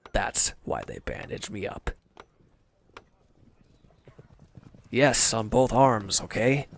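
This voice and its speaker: A man playing a wounded, defeated villain's henchman in an intimate audio roleplay, bitter, defensive and despondent, halting and sometimes dropping to a near-whisper. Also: lowish voice, gravelly, fairly bright tone, a little hiss or hum, full character voice. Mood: defensive, irritable.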